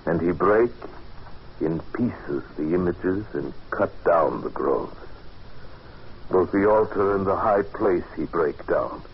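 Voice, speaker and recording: A grave, haunted adventurer with a very low voice tells his tale deliberately and dramatically. It is old radio horror acting, muffled, with plain background noise. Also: animated, full character voice. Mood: solemn. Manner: deliberate, dramatic, halting when moved. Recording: dull, noisy old radio recording.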